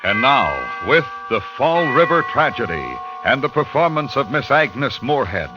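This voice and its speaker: A man's voice: mid-range voice, big pitch swings, moderate pace, music in the background. A man announcing on old-time radio in a punchy, theatrical commercial style. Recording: muffled old radio recording.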